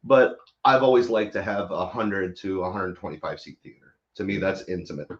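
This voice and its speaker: A man's voice: low voice, brisk. A man speaking casually as a theater company guest in an interview, heard through dull live stream audio.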